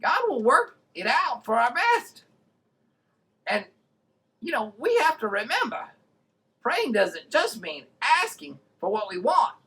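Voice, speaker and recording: Woman voicing a high, excitable puppet kid. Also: takes it slowly, long pauses, exaggerated voice. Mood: earnest. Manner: exclamatory, earnest, simple explaining. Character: excitable young puppet kid named Tommy, cheerful teacher. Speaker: puppet co-host of a children's Bible show. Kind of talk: children's puppet show dialogue.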